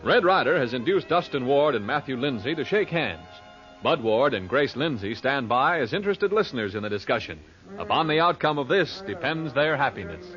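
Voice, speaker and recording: A man's voice: mid-range voice, sing-song, fairly quick, fairly bright tone. A man as announcer, reading scripted story narration in an old-time radio style. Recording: muffled old radio recording. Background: faint music.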